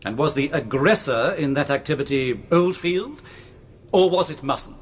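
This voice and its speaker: A man's voice: fairly high pitch, moderate pace, husky. An actor playing a pompous, insistent male prosecuting barrister in a period courtroom radio drama. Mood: probing.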